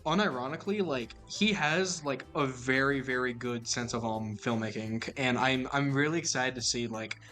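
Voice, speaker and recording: A man's voice: medium pitch, moderate pace, few pauses, faint music behind. A young man co-hosting a podcast, chatting enthusiastically and jumping into the conversation. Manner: enthusiastic, jumps in often, yells when mimicking. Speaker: podcast co-host. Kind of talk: casual chat.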